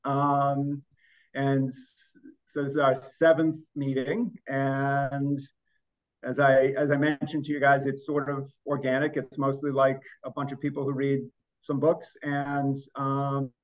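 A man's voice: medium pitch, monotone, measured pace. A middle-aged man hosting a book club over a video call, hesitant and often restarting his sentences. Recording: dull lo-fi call audio.

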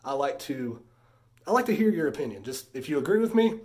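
A man's voice: on the high side, very animated, a little fast, a little mellow, slightly rough. A man in his thirties, congested from a sinus infection, chatting casually as he shows off a haul indoors. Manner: chatty, easily impressed, drifts into a rant. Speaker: vlogger showing a haul. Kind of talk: casual haul vlog chat.

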